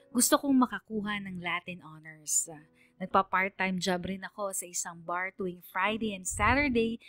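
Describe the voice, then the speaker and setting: A Filipino woman radio DJ tells a scripted story in an earnest, measured manner. Her voice sits at a middle pitch, recorded dry and close, with faint music underneath. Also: fairly bright tone, no echo. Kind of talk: scripted dramatic narration of listener letters. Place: dry studio, music behind.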